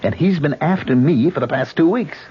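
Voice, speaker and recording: A man's voice: a little high, expressive, a little fast, slightly rough. An actor playing an anxious, haunted man seeking help, nervous and earnest, in an old radio drama. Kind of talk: 1970s radio drama acting. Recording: dull old radio broadcast.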